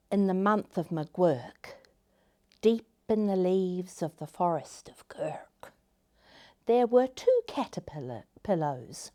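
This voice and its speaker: A woman storyteller hosting a story time, reading to children in a gentle, expressive way with deliberate phrasing. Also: on the low side. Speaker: storyteller, host.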